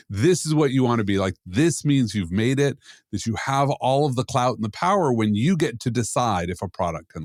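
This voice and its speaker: A man's voice: mid-range voice, no room sound, studio quality. A man co-hosting a podcast, giving casual, opinionated commentary in a blunt, emphatic way. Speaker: podcast co-host, security expert.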